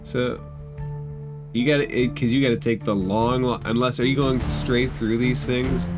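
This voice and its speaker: A man's voice: medium pitch, fairly even intonation, normal speed. A man running a tabletop role-playing game as game master, narrating scenes and guiding the players. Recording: music playing behind the voice.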